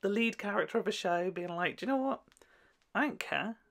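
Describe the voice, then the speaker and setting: A woman hosting a video, giving casual, gushing and expressive commentary in a campy way. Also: mid-range voice, a little fast, vocal fry. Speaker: YouTube host reviewing a children's TV show.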